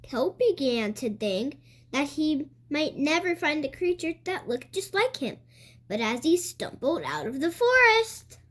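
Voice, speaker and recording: A child reading a picture book aloud for other kids, with expressive, emphatic storytelling. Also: high voice, measured pace. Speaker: host of a read-aloud channel.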